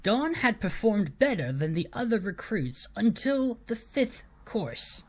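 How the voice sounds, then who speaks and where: Low-voiced woman, animated storyteller. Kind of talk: humorous scripted story narration.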